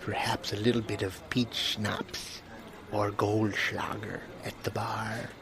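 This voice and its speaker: A man performs a smooth-talking sidekick in an improvised comedy. The voice is fairly low, and he wheedles and explains with glib persuasion. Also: little pitch movement, takes it slowly, background noise. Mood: coaxing. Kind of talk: improvised audio theatre comedy.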